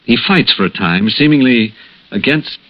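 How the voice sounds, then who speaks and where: An American actor playing a grave hospital doctor in 1960s radio drama, speaking in a measured, hesitant, solemn way. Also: male voice, medium pitch, expressive, lightly acted. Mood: solemn, foreboding. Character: grave, hesitant male hospital doctor breaking bad news gently. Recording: dull lo-fi vintage radio recording.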